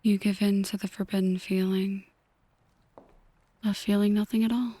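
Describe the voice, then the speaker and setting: Woman acting a breathy, whispering female mafia boss. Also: medium pitch, flat delivery, warm tone, no room sound, lightly acted. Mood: somber, seductive. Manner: slow, deliberate, intimate address to one listener. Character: possessive, brooding female mafia boss, softly menacing and intimate. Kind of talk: ASMR roleplay, whispered dramatic monologue.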